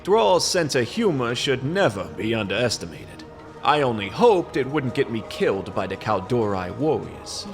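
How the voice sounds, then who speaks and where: A man's voice: medium pitch, sing-song, normal speed, soft background music. Man playing a brooding, wry goblin noir detective. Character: world-weary goblin male noir detective, semi-retired. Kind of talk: hardboiled radio play narration and dialogue.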